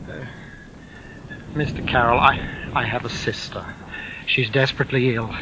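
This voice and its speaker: A man's voice: medium pitch, normal speed, close to an everyday voice. An American actor playing a timid, guilty bank employee in his fifties in a 1940s radio drama, stammering and obsequious. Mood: desperate, pleading. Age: 50s. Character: timid, guilty middle-aged bank employee, pleading and flustered. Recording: vintage radio with some noise.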